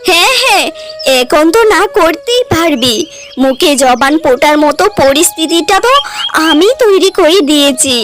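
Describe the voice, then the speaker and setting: A woman's voice: high voice, lively intonation, normal speed, soft background music. A woman as a motherly, admonishing older woman. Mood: reproachful. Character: reproachful older woman, protective family elder. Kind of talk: cartoon story acting.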